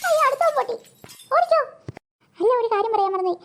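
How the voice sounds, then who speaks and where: A performer voicing an excitable, whiny and playful little girl in a comedy cartoon. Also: extremely high pitch, fast, a little hiss or hum, full character voice. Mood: excited, alarmed.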